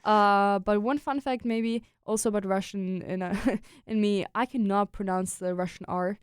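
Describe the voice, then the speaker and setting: A young woman tells personal stories in a casual interview. Her voice is clear and smooth at a middle pitch, and her talk is chatty, full of "like" and "you know." The recording is close and dry. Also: steady tone, moderate pace, no echo. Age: young adult. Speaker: guest, international exchange student.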